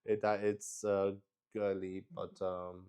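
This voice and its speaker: Slow-paced man, casual and hesitant. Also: low-pitched, level tone, darkish tone, smooth. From Luxembourg. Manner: hesitant, thinking aloud. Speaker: solo roleplay stream player. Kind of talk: casual improvised chat while playing.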